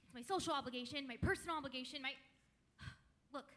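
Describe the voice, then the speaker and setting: A woman's voice: sits high, fast, a bit bright. A woman playing a chatty, pushy teen girl. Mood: bossy. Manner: rambling, wheedling. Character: chatty, self-important teenage girl.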